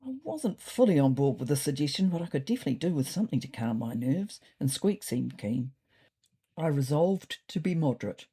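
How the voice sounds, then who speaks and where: A woman's voice: deep voice, mellow, slightly rough, heavily acted. A woman voicing a thoughtful, slightly neurotic male ginger cat telling his diary in a dry, fretful way. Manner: dry, fretful, wordy understatement.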